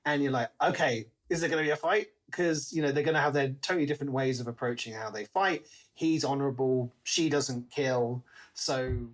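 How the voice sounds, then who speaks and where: A man's voice: mid-range voice, a little fast. Man chatting casually about a book. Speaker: podcast co-host.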